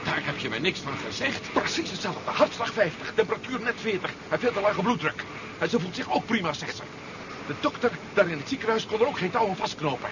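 An actor playing an earnest, doubting hero in a 1970s science fiction radio play. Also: male voice, sits high, expressive, brisk. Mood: agitated. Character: earnest, doubting male hero, friend of the general. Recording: dull, noisy 1970s radio drama recording.

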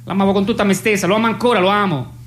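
Woman acting as brash, fast-talking young phone pollster. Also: medium pitch, steady tone, natural voice. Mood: heartbroken. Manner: rambling, sarcastic, argumentative. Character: brash, self-absorbed young female telephone poll interviewer. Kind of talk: comic radio play phone dialogue.

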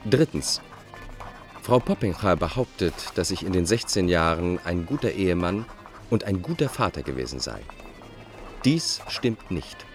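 A man's voice: sits low, sing-song, unhurried, faint music behind. An actor playing a bitter, self-justifying Dutch fugitive in a radio drama, setting out his letters in a formal, pedantic way. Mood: bitter, insistent. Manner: formal, pedantic, enumerating point by point. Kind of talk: radio drama monologue, letters read aloud.

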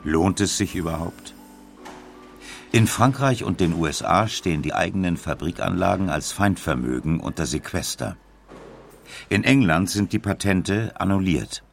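A man's voice: low-pitched, animated, moderate pace, gravelly, faint music behind. A man narrating a scripted documentary as one of its narrators.

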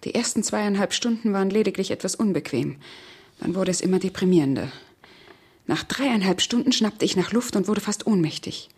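An actress playing a cold, scheming murderess, controlled and imperious, in a 1970s German radio crime drama. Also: female voice, medium pitch, expressive, a little fast, a little mellow, slightly rough. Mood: cold, calculating. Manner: controlled, imperious, coolly persuasive.

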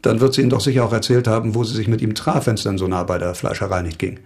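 An actor playing a wry, probing former doctor who works as a police investigator in a radio crime drama, dry and commanding. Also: male voice, on the low side, fairly even intonation, very fast, a little mellow, natural voice.

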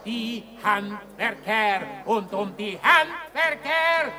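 An actress playing a mocking street ballad singer in a radio play, half singing and declaiming to the common folk. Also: female voice, on the high side, lively intonation, takes it slowly, over music. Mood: declamatory, sly. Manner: declamatory, theatrical, half sung.

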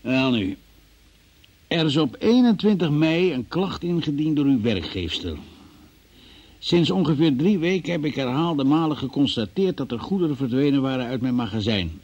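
An actor playing a formal, businesslike examining magistrate in a Dutch-language radio play. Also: male voice, mid-range voice, very animated, moderate pace, a little mellow. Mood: official, matter-of-fact. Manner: formal, official, procedural phrasing. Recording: dull, muffled old radio recording.

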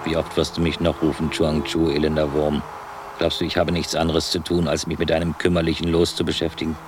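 A man's voice: very deep voice, completely flat delivery, fairly quick, noisy background. An actor playing a grumpy, imperious god of fate in a radio play, pompous and scolding in his speech. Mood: irritated, scornful.

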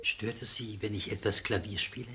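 A man's voice: on the low side, fairly even intonation, fairly quick, sharp tone, breathy edge, exaggerated voice. An actor playing a meek, polite but stubbornly principled little man in a radio crime play, apologetic, hesitant and philosophical. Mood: timid, polite.

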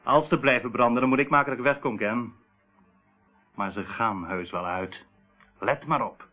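A man's voice: medium pitch, moderate pace, highs cut off, natural voice. An actor playing a boastful, cold and ruthless male crime syndicate boss in a radio play, with a mocking, menacing manner. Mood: smug, gloating.

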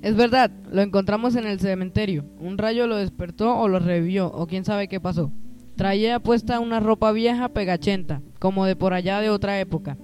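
A man plays an eager young lad explaining things in a radio drama. The voice is high, Colombian-accented, with light music in the background. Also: moderate pace, darkish tone, lightly acted. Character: eager young friend explaining a strange find.

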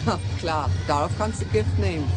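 An actor playing a coquettish, unafraid drag streetwalker in a German radio play, slangy, cooing and cheeky. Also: male voice, sits high, moderate pace, loud music behind the voice, close to an everyday voice. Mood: sassy.

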